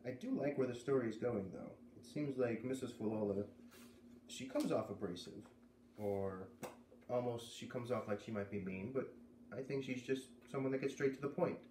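A man's voice: medium pitch, lively intonation, moderate pace, mellow. A man in his thirties reads a story aloud in a narrating style, with casual asides. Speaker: storyteller reading a children's novel aloud. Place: living room by a fireplace. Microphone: camera a few metres away. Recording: roomy, distant camera mic with faint noise.

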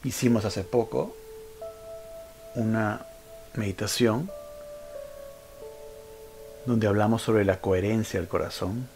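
A man leading a guided meditation, giving instructions in short phrases with frequent pauses. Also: lowish voice, darkish tone. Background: background music.

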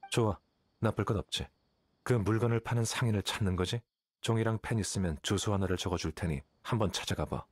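A man voicing a cool, blunt, calculating young scholar in a video game, with a detached, measured, condescending manner. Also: on the low side, no echo, clean studio sound, lightly acted. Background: game music.

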